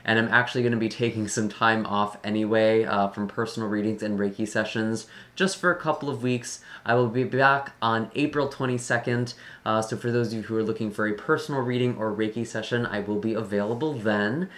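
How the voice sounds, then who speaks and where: Casual tarot reader and online host, medium-pitched voice, a little scratchy and tired. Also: fairly even intonation, fairly quick, few pauses, a bit bright. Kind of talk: casual talk introducing a general tarot reading.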